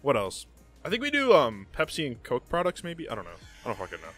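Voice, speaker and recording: A man's voice: medium pitch, huge pitch swings, fast talker, a bit bright, faint music behind. A young man from Miami chatting casually as a podcast guest about his trucking job, rambling and unsure of details, into a handheld mic in a small room. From Miami, USA. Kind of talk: casual chat. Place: small wood-panelled room, indoors.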